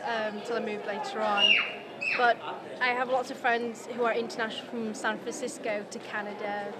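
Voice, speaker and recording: A woman's voice: a little high, little pitch movement, normal speed. Sociable woman from Yorkshire, crowd behind. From Yorkshire, England. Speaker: event visitor. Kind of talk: casual chat. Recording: loud crowd and background noise.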